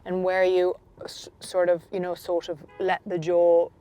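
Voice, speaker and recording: A woman's voice: on the low side, completely flat delivery, measured pace, mellow, clean tone, soft background music. Curious woman host chatting while driving. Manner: curious, asks short follow-up questions. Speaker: podcast host. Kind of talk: casual interview. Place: inside a car, driving.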